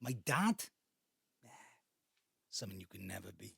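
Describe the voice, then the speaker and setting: An actor playing a bitter, resentful grown son in an audio drama, speaking in a halting, confrontational way. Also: male voice, low voice, sing-song, gravelly, husky, no room sound, exaggerated voice. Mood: cold resentment. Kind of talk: naturalistic audio drama acting.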